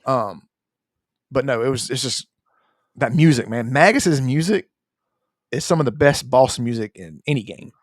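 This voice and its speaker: A man's voice: mid-range voice, expressive, normal speed, long pauses, no echo, clean studio sound. A man co-hosting a gaming podcast, talking in an informal, conversational way. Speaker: podcast co-host. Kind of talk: casual gaming podcast chat.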